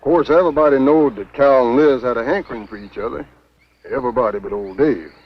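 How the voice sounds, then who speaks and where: A man's voice: mid-range voice, fast, natural voice. An actor playing a gossipy, plain-spoken swamp man with a rural Southern American accent, musing in a folksy way in a 1950s B-movie. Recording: dull 1950s film soundtrack.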